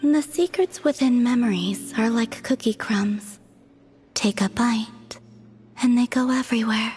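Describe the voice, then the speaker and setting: A woman playing a serene, aloof young moon maiden in a video game, speaking softly in an unhurried, detached way. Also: fairly high pitch, natural voice. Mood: serene, instructive. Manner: unhurried, detached, cryptic, understated. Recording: music behind the voice.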